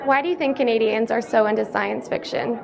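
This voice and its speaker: Young woman host, upbeat scripted questions, a bit high, crowd chatter behind. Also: brisk, creaky. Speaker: entertainment host. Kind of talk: red carpet interview questions. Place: awards red carpet.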